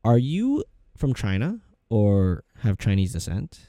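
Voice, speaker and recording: A man's voice: on the low side, huge pitch swings, slow, mellow, dry recording, clean studio sound. A young man co-hosting a podcast in loose, rambling, jokey casual chat. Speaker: podcast co-host.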